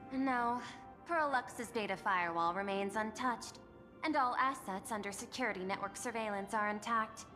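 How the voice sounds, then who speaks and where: An actress playing a poised, guarded corporate executive in a video game, speaking formally and with measured deliberation. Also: female voice, a little high, pushed and bright. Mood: composed, guarded. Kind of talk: scripted game character dialogue. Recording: long reverb, music behind.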